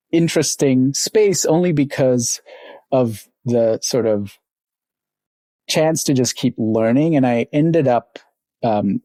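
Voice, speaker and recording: A man's voice: mid-range voice, mellow, no room sound. A middle-aged man from Sri Lanka, a company founder telling his life story in a reflective, rambling way as an interview guest. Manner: reflective, rambling, with little laughs.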